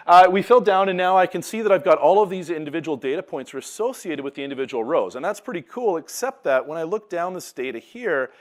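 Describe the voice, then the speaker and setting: Man, conference stage demo, joking and upbeat, lapel mic, roomy hall. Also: mid-range voice. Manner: upbeat, joking, talks while clicking through steps. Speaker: presenter. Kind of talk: live software demo at a conference. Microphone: lapel mic, cutting in and out at first. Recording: roomy distant hall sound, noisy.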